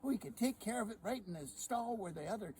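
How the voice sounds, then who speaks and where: A man's voice: high-pitched, lively intonation, brisk, warm tone, pitched above the performer's own voice. A man voicing a cheerful, doting farm wife who is easily delighted, with fluttery speech and a noisy recording.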